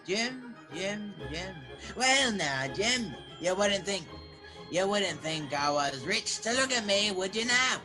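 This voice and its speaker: A woman's voice: medium pitch, expressive, takes it slowly, over music. A woman playing a half-wild marooned castaway sailor in a radio play, eager and rambling, with rustic sailor dialect. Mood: excited, boastful. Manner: rambling, repeats words, exclaiming. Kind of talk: children's theater radio play acting.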